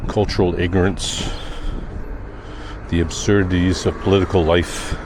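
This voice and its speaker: A man giving a musing, unhurried and wry vlog monologue while out on the sidewalk. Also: low voice, flat delivery. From Canada. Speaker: daily vlogger. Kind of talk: reflective walking vlog monologue. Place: outdoors on quiet sidewalks. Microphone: handheld camera with wind muff. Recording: outdoor street noise, passing cars, wind.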